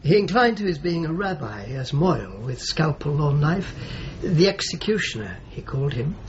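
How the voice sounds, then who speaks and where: A male actor playing a pious, courteous churchman in a radio drama, speaking gently and thoughtfully, sometimes haltingly. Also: on the high side, very animated, dull audio, a little hiss or hum. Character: pious, courteous churchman showing a visitor around. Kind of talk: naturalistic radio drama acting.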